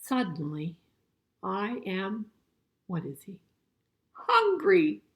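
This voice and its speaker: An older woman reads a children's storybook with a very lively, swooping intonation and an average-pitched voice. She is expressive and conversational, turning to her young listeners with questions and little asides as she goes. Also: very slow, slightly rough. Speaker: grandmother reading a picture book to children.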